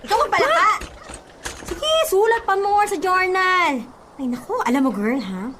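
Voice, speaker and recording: A woman's voice: extremely high pitch, expressive, normal speed, heavy background noise. A woman with a Filipino accent playing a blunt, exasperated young best friend in a radio drama. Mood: exasperated, scolding. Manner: sassy, exasperated.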